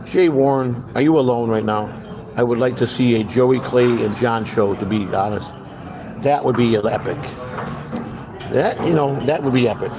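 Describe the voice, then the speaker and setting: A man in his late fifties talks loosely to his live stream audience at a middling pitch. He rambles and repeats phrases to make his point. Busy urban chatter carries on behind him. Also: moderate pace, rough recording. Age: 50s. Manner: repeats himself for emphasis. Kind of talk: casual rambling chat with viewers and companion.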